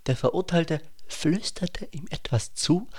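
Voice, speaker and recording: A man's voice: on the high side, a little slow, slightly rough. A man playing the storyteller of a literary radio play, narrating from a script. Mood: observant.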